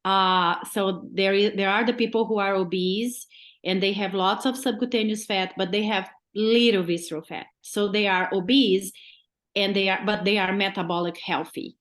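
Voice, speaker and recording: A woman's voice: mid-range voice, steady tone, moderate pace, a bit bright. A woman doctor from Brazil with a Brazilian Portuguese accent, a guest on a podcast who explains step by step and keeps checking in with "right?". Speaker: guest physician.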